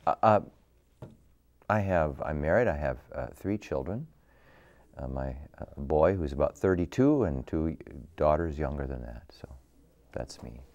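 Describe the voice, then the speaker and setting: Middle-aged Midwestern man, extremely low voice, halting, self-deprecating. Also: expressive, a little mellow, muffled recording. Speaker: pastor. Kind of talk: panel introduction.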